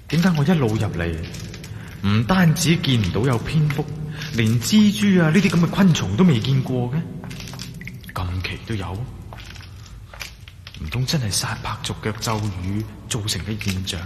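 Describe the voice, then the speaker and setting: A man's voice: on the low side, expressive, moderate pace, pauses often, lightly acted. Cavernous reverb, noisy recording, actor as wary male adventurer, Hong Kong Cantonese accent, theatrical radio-play musing. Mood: wary, puzzled. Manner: theatrical, exclaiming and musing to himself. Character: wary, curious male adventurer exploring a dark ancient temple. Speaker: radio drama lead actor. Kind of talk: Cantonese radio drama monologue, thinking aloud. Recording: echoey long reverb, clearly noisy.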